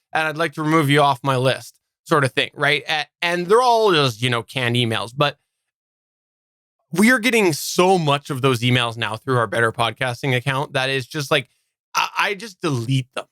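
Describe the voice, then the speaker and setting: A man co-hosting a casual live podcast chat, often repeating words haltingly as he works through a thought. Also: on the high side, sharp tone, smooth, dry recording, clean studio sound. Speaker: podcast co-host.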